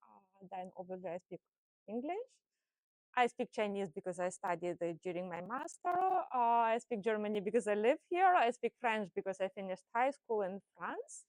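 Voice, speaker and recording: A woman's voice: mid-range voice, expressive, normal speed, darkish tone, no room sound. Woman, thoughtful panelist. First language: Russian. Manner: thoughtful, frequent "right?" tags and fillers. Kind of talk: panel discussion.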